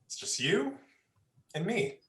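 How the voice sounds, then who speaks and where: A man's voice: high voice, big pitch swings, slow pace, fairly bright tone, roomy sound, full character voice. A man playing a sadistic, mocking young predator in a horror play reading, taunting with false politeness. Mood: predatory, creepy.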